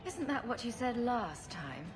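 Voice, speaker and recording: An actress playing a wry, loyal rogue heroine in a video game, with a dry, composed delivery. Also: female voice, on the high side, very animated, measured pace. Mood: sarcastic. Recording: music behind.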